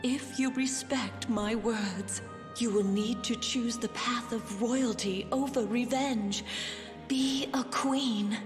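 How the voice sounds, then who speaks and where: A woman voicing a dying fairy queen mother in a video game, solemn and tender, speaking slowly in hushed, breathy whispers with regal, archaic phrasing. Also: fairly high pitch, fairly even intonation. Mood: grave, urgent. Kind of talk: dramatic video game voice acting. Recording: echoing reverb under game music.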